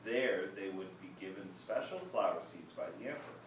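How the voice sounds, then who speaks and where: A man reads a children's story aloud with an expressive, deliberate delivery. His voice is mid-pitched and sounds far from the mic in a very echoey room, with clear background noise. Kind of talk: children's picture book read-aloud.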